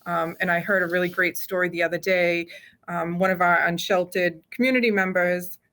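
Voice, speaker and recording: A woman speaking as a police chief in an interview, halting often with ums as she explains things. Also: lowish voice, fairly even intonation, a bit bright. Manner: hesitant, halting delivery with frequent ums. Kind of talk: interview answers.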